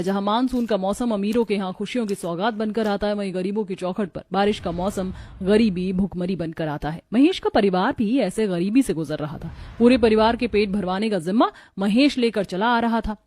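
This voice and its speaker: A woman's voice: medium pitch, normal speed, darkish tone. A woman giving scripted, dramatic storytelling narration for a moral tale.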